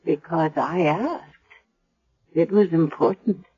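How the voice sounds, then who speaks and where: Old radio drama, a frail gentle granny, real slow and husky, kinda muffled sound. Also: female voice, deep voice, exaggerated voice. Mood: gently probing. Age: older. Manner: slow, gentle, halting delivery. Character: frail, tender, blind dying grandmother. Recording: dull old 1970s radio broadcast.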